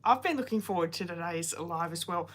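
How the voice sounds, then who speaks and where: A woman's voice: fairly low pitch, fairly quick, fairly bright tone. A woman, hosting a casual card-making livestream, chatty and greeting viewers by name while coming down with a cold and a sore throat.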